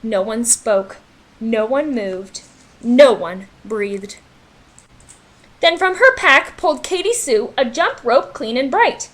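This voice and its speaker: A woman reading a children's picture book aloud in an expressive, dramatic, sing-song way, as a storyteller. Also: on the high side, slow. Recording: voice-over with music underneath. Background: background music.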